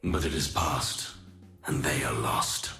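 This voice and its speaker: An actor playing an ancient, burdened and resolute fallen god warrior in a video game, speaking in grave, formal, deliberate lines. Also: male voice, a bass voice, sharp tone, faint music behind, exaggerated voice. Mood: solemn, mournful. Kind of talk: dramatic scripted game voice lines.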